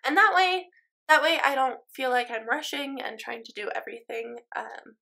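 A woman's voice: high voice, normal speed, creaky, pushed and bright. A young woman with a stuffy nose, chatting casually over her game footage in a rambling way, full of ums and likes. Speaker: Sims speed-build YouTuber.

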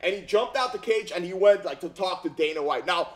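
A man's voice: high voice, a little fast. A man in his thirties, originally from Armenia, talking casually about fight news in a slangy, repetitive way from a home gaming room on a headset mic. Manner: animated, slangy, repeats himself, frequent "bro" and "okay?". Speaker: YouTube commentator. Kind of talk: casual reaction commentary.

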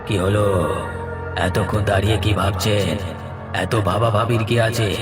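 A man voicing a menacing ghost fish seller in a horror cartoon, taunting slowly and breaking into eerie laughs. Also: on the low side, little pitch movement, roomy sound, natural voice. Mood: mocking menace. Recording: music behind the voice.

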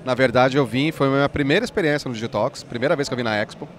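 A man in his forties, an app company founder, explaining his product eagerly in an interview at a trade fair, speaking into a handheld mic. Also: mid-range voice. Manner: fast, enthusiastic explainer with many "né"s. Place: busy indoor expo hall.

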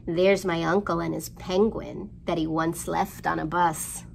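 A middle-aged woman hosting storytime for young children, with an expressive, sing-song delivery, recorded indoors a short way from the microphone. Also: fairly low pitch, a little slow, creaky, slight background noise. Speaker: children's library storytime host. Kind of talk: storytime rhymes and picture book reading for young children. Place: indoors in a library room.

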